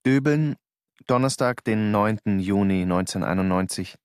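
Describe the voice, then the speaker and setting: Male actor as Austrian theatre player, lowish pitch, dry sound, restless, probing, weary, radio drama narration and dialogue. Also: normal speed, smooth, no room sound, close to an everyday voice. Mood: weary, reflective. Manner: insistent, probing. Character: restless, insistent young Austrian stage actor, worn out and searching.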